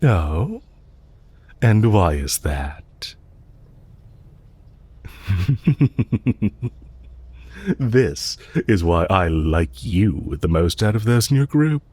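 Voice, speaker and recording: A man playing a calm, courteous villain leader in an audio roleplay, measured, formal and politely condescending, with quiet menace and amusement. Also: sits low, husky, heavily acted. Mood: amused, composed. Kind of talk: audio roleplay, intimate scripted acting addressed to listener.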